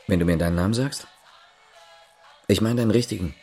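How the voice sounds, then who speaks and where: A man's voice: lowish voice, brisk, darkish tone, slightly rough, soft background music. An actor playing a sceptical, terse man who probes with questions in a naturalistic radio play. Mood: probing. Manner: probing, terse. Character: sceptical, probing East German man loyal to his state.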